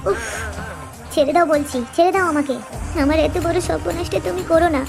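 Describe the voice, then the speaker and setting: A woman voicing a captured young wife who is defiant and pleading, in a homemade cartoon, with loud background music. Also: very high-pitched, a little fast, gravelly.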